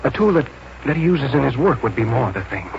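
An actor playing a troubled, confused artist in a 1940s radio drama, speaking in a halting, repetitive, anguished way. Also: male voice, mid-range voice, very animated, creaky, soft background music. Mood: brooding, fixated. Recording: noisy muffled vintage 1940s radio recording.

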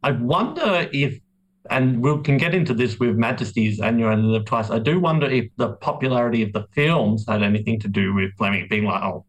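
Mid-pitched man in casual podcast discussion. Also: brisk, low-quality recording. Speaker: podcast co-host.

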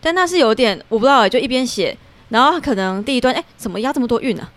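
A woman's voice: a little high, expressive, racing. A woman with a Taiwanese Mandarin accent talking reflectively as a guest in a casual podcast conversation. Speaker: guest, theatre playwright and performer.

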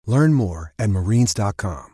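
A man reads a scripted recruitment ad. His voice sits fairly low and has a marked husky grain. The sound is dry, with very little room. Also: animated, measured pace, warm tone, no echo. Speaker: advertisement narrator.

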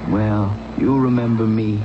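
A man's voice: fairly low pitch, fairly even intonation, measured pace, natural voice. An actor playing an anxious, pleading husband who stammers as he coaxes and reassures, in a radio drama. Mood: coaxing, reassuring. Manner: stammering, coaxing, insistent. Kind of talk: 1970s radio drama acting. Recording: dull, noisy old radio broadcast recording.

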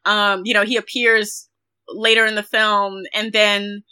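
A woman's voice: mid-range voice, level tone, measured pace, sharp tone, clean tone. A woman co-hosting a film podcast, talking casually and emphatically with frequent conversational asides. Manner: conversational, emphatic, uses like and you know often.

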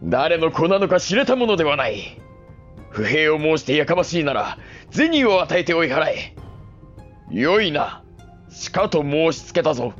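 An actor playing a cold, middle-aged samurai patriarch in a period audio drama, speaking in formal, commanding lordly phrases. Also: male voice, a little high, very animated, normal speed, fairly bright tone, highs cut off, faint music behind.

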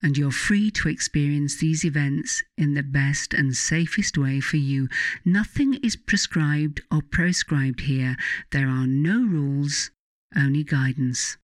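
A narrator guiding a meditation from a script in a soothing, deliberate, instructing manner. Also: medium pitch, no room sound. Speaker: author narrating a guided meditation.

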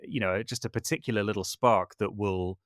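Man, low voice, dry close recording, chatty, self-deprecating, hesitant restarts, casual interview. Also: a little fast, no room sound. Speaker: podcast guest, composer and voice artist.

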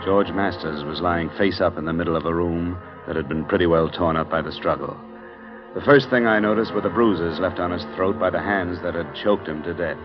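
Actor as a low-voiced hard-boiled American radio detective. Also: male voice, fairly quick, natural voice. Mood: terse, grim. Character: hard-boiled male insurance investigator narrating his case. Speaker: investigator and narrator. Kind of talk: 1950s American radio detective drama acting. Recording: dull vintage radio recording with music.

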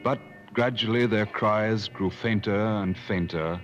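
An actor playing a grave adventurer who narrates a perilous expedition in measured, literary 1950s radio style. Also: male voice, fairly low pitch, lightly acted. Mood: solemn, reflective. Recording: muffled old radio broadcast recording. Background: dramatic music.

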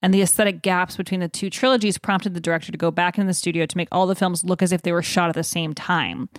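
Low-pitched woman podcast host, dry sound. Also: steady tone, fast talker, no room sound. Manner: informal, conversational. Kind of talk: casual podcast chat with read-out news.

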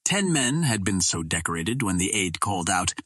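A man's voice: deep voice, very animated, normal speed, fairly bright tone, breathy edge, no echo, studio quality. A man reading a first-person adventure novel aloud as scripted audiobook narration. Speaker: audiobook reader.

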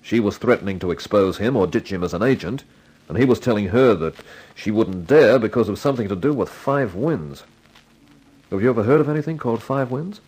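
Wisecracking office man, 1950s radio detective drama, husky, swooping delivery, blustering yet deferential. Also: lowish voice, animated, fairly quick, a little mellow, low-quality recording. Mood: eager, casual. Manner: indignant bluster sliding into stammering deference. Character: excitable wisecracking male fashion firm employee, easily cowed.

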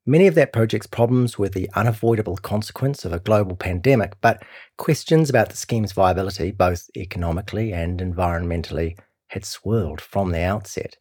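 A man with a New Zealand accent reading a scripted long-form news feature as narrator, in a measured, serious way. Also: low voice, a little mellow, no echo, clean studio sound. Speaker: narrator of a long-read journalism podcast.

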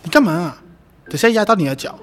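A man plays a playful, doting boyfriend in a radio drama, his voice high for a man and lively in its swings. He has a Malaysian accent and a teasing, coaxing way of talking, with faint music behind. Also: fairly quick. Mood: affectionate. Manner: teasing, coaxing, sing-song particles. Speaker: amateur voice actor. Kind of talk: Chinese radio drama acting.

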